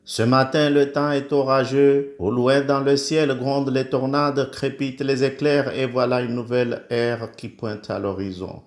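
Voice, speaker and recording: A man's voice: medium pitch, flat delivery, a little slow, hardly any pauses, echoey room. A man from the Comoros reciting a solemn praise poem in an oratorical, declamatory way, in short emphatic phrases. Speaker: poet.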